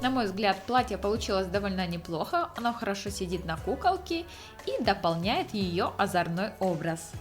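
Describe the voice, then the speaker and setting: A woman's voice: medium pitch, lively intonation, moderate pace, music in the background. A woman hosting a craft tutorial, explaining each step in scripted narration. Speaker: craft channel host.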